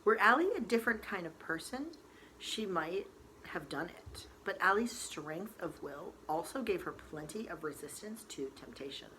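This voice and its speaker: Lady reading a story out loud, kinda low pitched but bright, dramatic, bit of background noise. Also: expressive, normal speed, low-quality recording. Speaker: storyteller reading a novel aloud. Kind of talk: expressive scripted narration.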